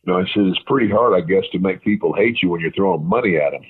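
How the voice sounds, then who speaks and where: A man with a very deep, husky voice tells long, rambling stories as an interview guest, full of fillers and quoted dialogue. He comes through a narrow, tinny phone line. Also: fast. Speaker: guest, retired wrestler. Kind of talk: casual storytelling interview.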